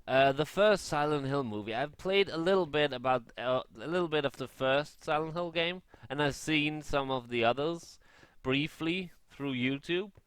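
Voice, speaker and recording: A man giving casual, unscripted gaming commentary in a halting way, often rephrasing and correcting himself. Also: fairly high pitch, a bit bright, smooth, no room sound. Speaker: gaming vlogger.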